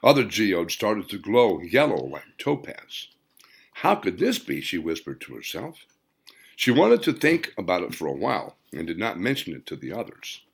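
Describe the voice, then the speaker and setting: Creaky, low-voiced man narrating fiction. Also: sing-song, normal speed. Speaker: author reading own audiobook.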